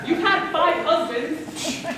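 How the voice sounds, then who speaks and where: Far-off echoey recording, woman acting as self-pitying middle-aged sister, high voice, aggrieved, sardonic. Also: lively intonation, slow pace, full character voice. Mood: hurt, aggrieved. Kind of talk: stage play acting. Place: stage in a large hall, far from microphone. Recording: distant, echoey, noisy stage recording.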